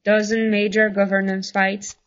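A woman's voice: medium pitch, flat delivery, moderate pace, muffled recording, lightly acted. An actress playing a dry, wry female attorney who worries for her client.

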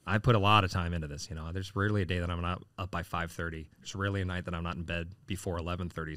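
A man's voice: low-pitched, a bit bright, dry recording. A man in his late forties, a city council candidate answering interview questions casually, with lots of fillers and restarts. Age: 40s. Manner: rambling, frequent fillers and restarts.

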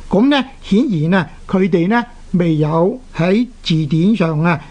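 Radio educator, sex open, Hong Kong Cantonese accent. Mid-pitched, didactic, phrase-by-phrase explanatory delivery. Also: lively intonation, a little mellow. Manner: didactic, deliberate, short phrases with drawn-out particles. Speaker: radio language teacher and presenter. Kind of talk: explanatory radio lecture on Chinese characters.

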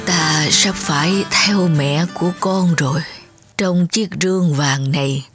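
Woman voicing a slow, solemn old king, dying. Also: low-pitched, over music, voice pushed down. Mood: grave, weary. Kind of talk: children's cartoon fairy-tale acting.

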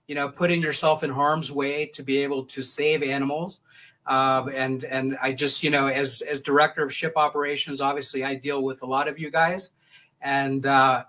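A man in his forties giving a casual live update as a director, halting and often repeating words. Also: a little high, pushed and bright, low-quality recording. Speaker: director of ship operations. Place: hotel room. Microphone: webcam on a video call.

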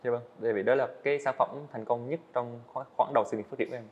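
Eighteen-year-old man, fast and earnest, muffled lo-fi podcast audio. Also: mid-range voice, steady tone. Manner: earnest, candid, hesitant restarts. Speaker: guest animator. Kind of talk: podcast interview storytelling. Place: indoor studio set. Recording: dull lo-fi sound.

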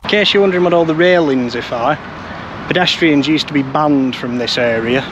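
A man's voice: fairly high pitch, fairly quick, dull audio, music in the background. A man in his thirties giving informative, wry commentary to camera as a vlog presenter. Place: outdoors beside busy urban roads. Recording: outdoor street noise from passing cars.

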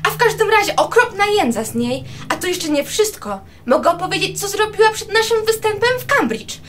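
A woman's voice: very high-pitched, very animated, a little fast. A woman playing a vain, gossipy, spiteful young violinist in a radio drama, with a theatrical, haughty manner.

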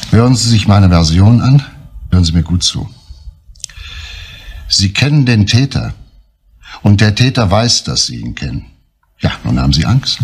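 An actor playing a composed, earnest police inspector in a German crime radio drama, speaking deliberately and insistently. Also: male voice, low-pitched, darkish tone, husky, exaggerated voice.

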